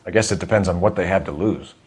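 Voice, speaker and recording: A man voicing an earnest, probing police detective in a video game, measured, formal and pressing. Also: low-pitched, a little mellow, breathy edge. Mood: polite, probing. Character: earnest, probing male police detective, stern but controlled.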